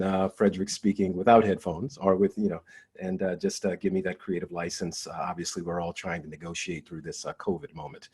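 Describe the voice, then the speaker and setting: A man speaking as an actor giving an informal, halting introduction to his performance. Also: low voice, slightly rough. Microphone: headset or headphones on a remote online session.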